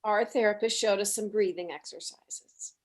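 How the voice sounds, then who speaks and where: Woman as a husky, meddling, secretive mom. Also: medium pitch, normal speed, lightly acted. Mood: helpful. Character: meddling, secretive mother, gently encouraging. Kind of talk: live table read of a screenplay.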